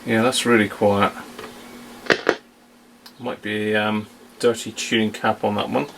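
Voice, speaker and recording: A man's voice: lowish voice, flat delivery, takes it slowly. A man giving casual, off-the-cuff commentary as a radio hobbyist, musing aloud in a hesitant way. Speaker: radio hobbyist reviewing a receiver. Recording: very noisy, loud radio static.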